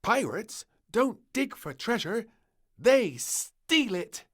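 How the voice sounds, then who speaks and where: A man's voice: sits high, big pitch swings, slow pace, fairly bright tone, husky, voice pushed up, heavily acted. A man voicing a cheeky, bossy little princess who plays at pirates in a children's storybook, exclaiming in a gleeful, childlike way. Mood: scheming, excited.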